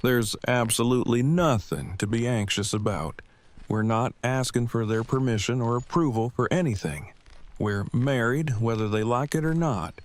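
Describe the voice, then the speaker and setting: A man playing an earnest, bookish, devoted husband in an audio drama, speaking in formal, wordy, measured phrases. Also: medium pitch, animated, natural voice. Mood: reassuring. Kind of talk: fan audio drama acting.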